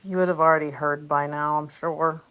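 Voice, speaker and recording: A woman's voice: sits low, steady tone, moderate pace, fairly bright tone, clear, resonant tone. A woman talking casually and bluntly as a co-host on a live stream, unfiltered in her way of speaking. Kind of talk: casual chat.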